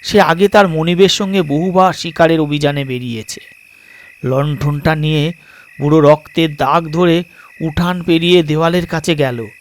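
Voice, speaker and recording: A man reading a suspense story as a dramatic audiobook narrator, in measured, deliberate phrases with pauses. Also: fairly high pitch.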